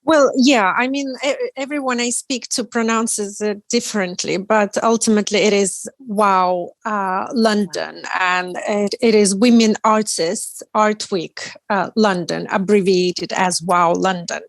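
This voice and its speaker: Guest artist, woman, forties, slow and careful delivery, dry close mic. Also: medium pitch, fairly even intonation, no echo. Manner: measured, careful, many restarts. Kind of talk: interview answers.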